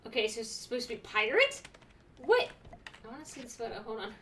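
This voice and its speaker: A woman's voice: fairly high pitch, big pitch swings, a bit bright, distant mic, a little hiss or hum. Sarcastic young woman gaming streamer. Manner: sarcastic, blunt, quick reactions. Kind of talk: casual let's-play commentary. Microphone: desk mic, webcam.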